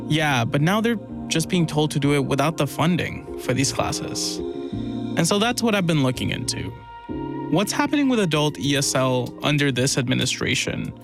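A man's voice: a little high, big pitch swings, moderate pace, gravelly, over music. Explaining male podcast reporter. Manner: explanatory, lightly amused. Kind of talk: conversational news podcast.

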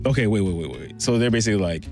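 A man co-hosting a conversational news podcast, reacting with animated, joking disbelief. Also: fairly low pitch, very fast, soft background music. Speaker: podcast co-host. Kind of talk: casual conversational chat.

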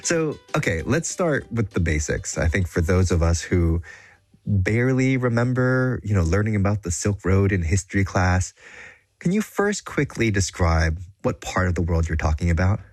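A male host interviews a guest, asking questions and listening closely with short murmurs of agreement. His voice is very low and husky, with faint music underneath. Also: sing-song, normal speed. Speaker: podcast host. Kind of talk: conversational interview.

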